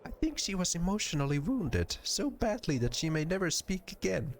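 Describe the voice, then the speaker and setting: Man voicing a high-pitched, timid, sweet young woman. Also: big pitch swings, moderate pace. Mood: earnest, chatty. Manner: hesitant, gushing, exaggerated when scolding.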